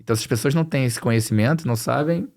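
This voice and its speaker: A man's voice: mid-range voice, racing, gravelly, a little mellow. A man with a Brazilian Portuguese accent slightly mixed with European Portuguese, speaking conversationally as a podcast guest and repeating phrases for emphasis. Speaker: podcast guest, financial planning expert.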